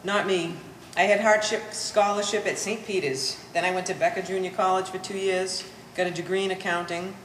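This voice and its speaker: A woman's voice: on the low side, steady tone, brisk, fairly bright tone, lightly acted. A woman playing a bitter, sardonic Polish-American daughter of sixty with pompous vocabulary, in a staged play reading. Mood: matter-of-fact. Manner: dry, pompous big words. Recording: echoey room, faint background noise.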